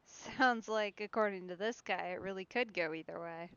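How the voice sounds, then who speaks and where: A woman's voice: medium pitch, normal speed, a bit bright, clear, resonant tone, highs cut off. A woman giving casual, musing let's play commentary on a game stream. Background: game music.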